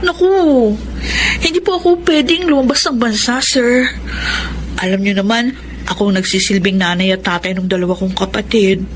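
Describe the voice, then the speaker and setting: A woman's voice: medium pitch, normal speed, slight background noise, close to an everyday voice. A woman playing a self-sacrificing eldest sister in a Filipino radio drama, speaking in an earnest, motherly, conversational way with a Filipino accent. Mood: surprised, reluctant. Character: Minerva, self-sacrificing eldest sister raising two siblings. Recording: music bed under the dialogue.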